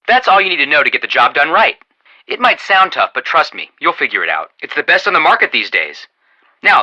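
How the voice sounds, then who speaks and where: A man's voice: on the high side, pushed and bright, heavily acted, rough recording. An actor playing a friendly, earnest young amateur detective in a video game, chatty and reassuring as he gives tips. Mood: upbeat, confident.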